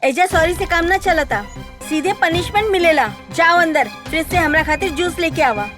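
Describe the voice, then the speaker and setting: A woman playing a strict, bossy tuition teacher with an affected manner and a Bhojpuri accent in an animated cartoon. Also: extremely high pitch, moderate pace, over music. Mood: stern. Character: strict, bossy female tuition teacher, two-faced and sugary.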